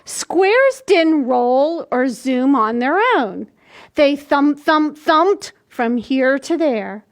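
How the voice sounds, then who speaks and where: An older woman in her sixties hosting a children's storytime, reading aloud and explaining things simply and deliberately for small children. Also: high-pitched, animated. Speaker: library storytime host. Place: small indoor room.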